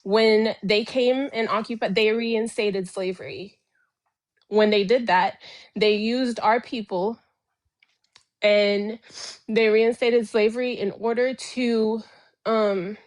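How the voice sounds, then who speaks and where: A Haitian woman, a guest in a political debate, speaking slowly and deliberately with firm emphasis. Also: medium pitch.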